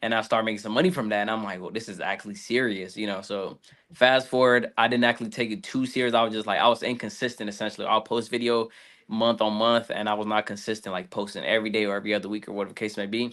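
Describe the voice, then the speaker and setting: A man's voice: on the low side, steady tone, quick pace, a bit bright. A 23-year-old man, a guest social media creator, telling his story in a rambling, casual interview style. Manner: rambling, fillers like "like".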